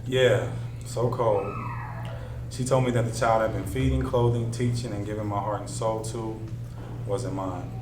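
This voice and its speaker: A heartbroken big man acted by a man confesses in a drama read-through. The voice is fairly low, heard from a distance in an echoey, noisy room. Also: fairly even intonation, a little slow, close to an everyday voice. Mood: hurt. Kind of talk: scripted dialogue read aloud.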